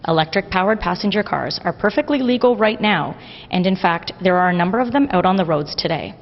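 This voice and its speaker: A woman, a press secretary reading a prepared statement in a formal, measured way in an office hallway. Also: lowish voice, expressive. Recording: lo-fi with long reverb and faint hiss.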